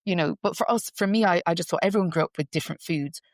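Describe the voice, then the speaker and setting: A woman's voice: on the low side, fast talker, dry recording, studio quality. A woman raised between Ireland and London, with an accent that mixes Irish and English, speaking thoughtfully as an interview guest with frequent restarts. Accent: Irish-English mix, heard as English in Ireland. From Ireland, raised partly in London. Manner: thoughtful, many restarts and fillers. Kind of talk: reflective conversational interview.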